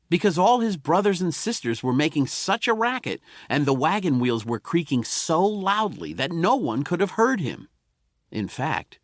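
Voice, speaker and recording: Male audiobook narrator for kids' folklore, mid-pitched, very lively intonation, folksy delivery. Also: no room sound, studio quality. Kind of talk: scripted storytelling narration of a children's tall tale.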